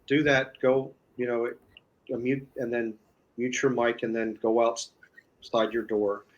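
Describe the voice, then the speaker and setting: Man casually explaining, mid-pitched voice. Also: little pitch movement, takes it slowly, dull audio. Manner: repeats himself, rambling explanations. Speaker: guest demonstrating a phone app.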